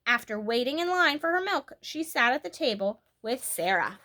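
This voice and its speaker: A woman reading a children's book aloud as a storyteller, in an expressive, animated way that leans on key words. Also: fairly high pitch, normal speed.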